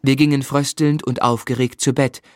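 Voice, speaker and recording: Male radio-play narrator an actor, reflective, literary, mid-pitched, closely recorded in a dry studio. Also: little pitch movement, moderate pace, no echo, lightly acted. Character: reflective gentleman narrating his own life story.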